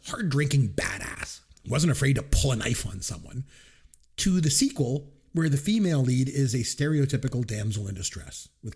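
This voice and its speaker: A man's voice: medium pitch, husky. A man co-hosting a podcast in casual chat, giving enthusiastic, emphatic opinions. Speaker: podcast co-host.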